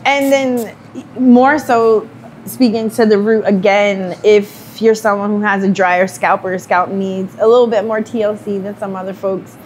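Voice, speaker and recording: A woman hosts a hair-care demo, explaining products in a friendly, chatty way with the odd "um." Her voice sits at a middle pitch, with light noise underneath. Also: fairly even intonation, measured pace. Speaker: brand presenter. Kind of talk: casual chat and product explanation.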